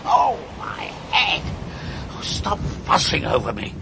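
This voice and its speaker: A gruff ship's captain in a radio play. He is pompous and indignant, speaking slowly in a forceful, creaky voice with lively swings. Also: on the high side, a little hiss or hum, exaggerated voice. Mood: dazed outrage. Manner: blustering, pompous, exclamatory. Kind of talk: BBC radio drama acting.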